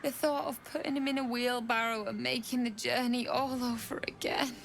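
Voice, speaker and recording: An actress playing a desperate, grieving young widow in a video game, anguished and emotional. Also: female voice, on the high side, fairly even intonation, moderate pace, creaky, fairly bright tone, a little hiss or hum. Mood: weary sorrow.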